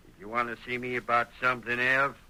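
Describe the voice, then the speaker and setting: An actor playing a spiteful, eager cowhand and spurned suitor with an American Western accent in an old-time radio western. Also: male voice, medium pitch, flat delivery, quick pace, pushed and bright, low-quality recording. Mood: obedient. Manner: needling, eager to please. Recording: vintage radio drama recording.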